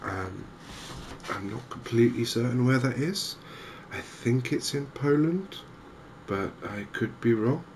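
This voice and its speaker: A man giving softly spoken, gentle ASMR game commentary in an unhurried, hesitant way. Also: medium pitch, noisy background. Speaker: host of a cozy gaming channel.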